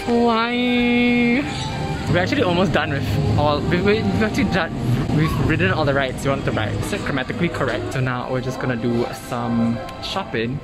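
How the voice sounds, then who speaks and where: A man's voice: on the high side, normal speed. A young man in his twenties vlogging casually to a phone at arm's length, gushing and playful, with music playing underneath. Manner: gushing, animated, playful asides. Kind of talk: casual vlog chat. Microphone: phone camera at arm's length.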